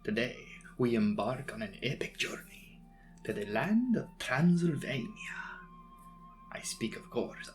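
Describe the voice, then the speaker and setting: A man hosting and narrating a dramatic audiobook in a theatrical, measured manner. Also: medium pitch, big pitch swings. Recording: music behind the voice. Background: background music.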